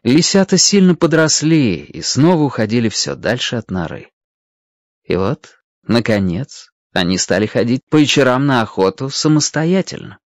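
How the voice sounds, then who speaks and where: An expressive narrator reading a children's animal story in a dramatic, scripted storytelling manner. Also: medium pitch, normal speed, no room sound. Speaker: audiobook narrator.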